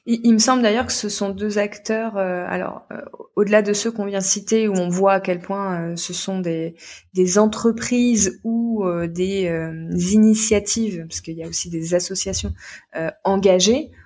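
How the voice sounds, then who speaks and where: A woman's voice: mid-range voice, steady tone, moderate pace, a little mellow. Woman host, casual and hesitant. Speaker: interviewer, host. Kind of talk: casual conversational interview.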